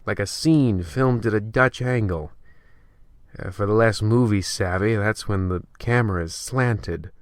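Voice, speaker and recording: A man's voice: on the low side, unhurried, dry recording, clean studio sound, natural voice. A man playing a smug, rambling host of an old-time horror radio show, hammy and pleased with himself. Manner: hammy, self-congratulating, rambling. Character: smug, bumbling horror-anthology radio host, self-satisfied. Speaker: narrator and host.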